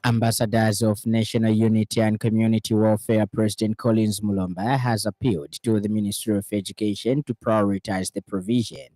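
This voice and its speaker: A man with a Zambian accent reading a formal radio news bulletin in a measured, scripted delivery. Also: fairly low pitch, little pitch movement, dark tone, faint music behind.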